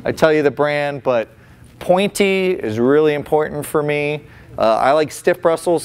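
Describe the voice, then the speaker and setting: A man's voice: on the high side, darkish tone, smooth. A man in his forties giving a casual, folksy face painting demonstration with many restarts, indoors in a small room.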